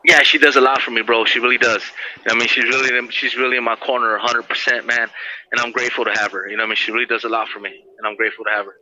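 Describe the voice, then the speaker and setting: Bright, effusive man on a lo-fi call. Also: medium pitch, little pitch movement, racing. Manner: effusive, slangy, repeats himself, says bro and man often. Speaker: call-in guest phoning from prison. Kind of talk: casual phone chat. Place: prison, on a phone or video visit call. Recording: dull lo-fi phone call with hiss.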